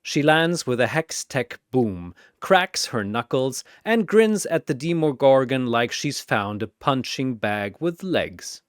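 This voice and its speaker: Slow, dramatic male narrator with resonant voice. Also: mid-range voice, big pitch swings, clean tone, dry recording, clean studio sound. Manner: theatrical, deliberate. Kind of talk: dramatic scripted fantasy narration.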